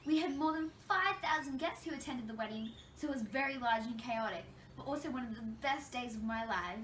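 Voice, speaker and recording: Girl acting as a wronged young queen, high voice, reciting her troubles formally for an interview skit, roomy echo. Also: animated, a little fast, a bit bright. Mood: earnest, reflective. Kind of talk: scripted question-and-answer role play. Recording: echoey room, distant mic, faint noise.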